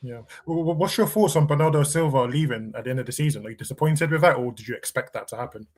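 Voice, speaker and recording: A man's voice: mid-range voice, quick pace, darkish tone. A man hosting a football podcast, chatting casually with a panel.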